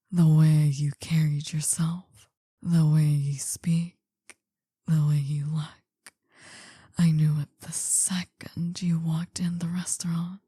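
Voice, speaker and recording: A woman's voice: sits low, no echo, studio quality, exaggerated voice. A woman playing a possessive, world-weary older mafia boss in an intimate whispered ASMR roleplay, tender and confiding. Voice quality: breathy, soft whisper.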